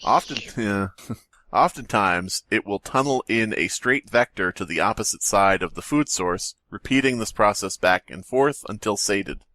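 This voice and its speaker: A man's voice: lowish voice, moderate pace, sharp tone, dry recording. A man co-hosting a comedy podcast, reading aloud and breaking off into joking, incredulous asides in casual chat. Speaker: podcast co-host.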